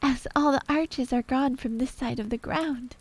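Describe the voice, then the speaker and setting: A woman's voice: high voice, steady tone, normal speed, breathy edge, voice pushed up. A woman voicing a polite, earnest young girl in a storybook tale, with a prim way of talking. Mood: resigned.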